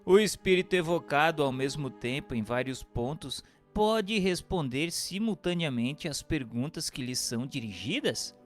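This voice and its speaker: A man's voice: a little high, lively intonation, moderate pace, few pauses. A man reading scripted narration and posing formal questions as a narrator. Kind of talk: scripted narration of a spiritist text. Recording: long hall reverb, music underneath.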